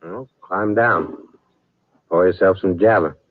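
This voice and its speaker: An American actor playing a grim, vengeful cowboy drifter in a 1950s radio western, terse and deadpan with rustic speech. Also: male voice, low voice, takes it slowly, no echo, phone-quality sound. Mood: laconic, guarded. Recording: thin, dry old radio recording.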